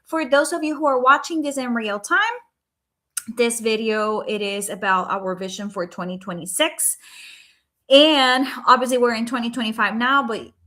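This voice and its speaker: Bright Puerto Rican woman, chatty livestream. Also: fairly high pitch, fairly even intonation, moderate pace. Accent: Puerto Rican Spanish. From Puerto Rico. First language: Spanish. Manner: earnest, chatty, frequent "right?" and "um". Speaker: life coach hosting a livestream. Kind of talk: casual live-stream talk. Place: home at night.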